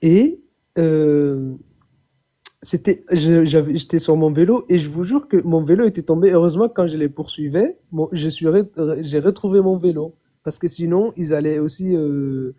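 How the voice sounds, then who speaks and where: Bright young man, halting testimony. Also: on the high side. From Chad. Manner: halting, repeats words, often says "je vous jure". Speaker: caller. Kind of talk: phoned-in personal testimony.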